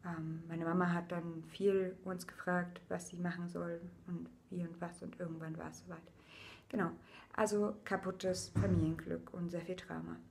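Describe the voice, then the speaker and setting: A young woman from eastern Germany telling her personal story to camera at home, casual and searching for words, with many fillers, and quieter on the painful parts. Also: lowish voice, little pitch movement, moderate pace, creaky, a little hiss or hum. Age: young adult. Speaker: vlogger. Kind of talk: personal video diary. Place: indoors, small room with a painting behind her.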